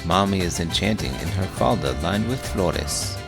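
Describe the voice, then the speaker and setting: A man's voice: low-pitched, animated, normal speed, vocal fry, music in the background. A man hosting a children's show, reading a picture book aloud expressively with big emotions. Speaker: children's book reader and host. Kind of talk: expressive storybook read-aloud.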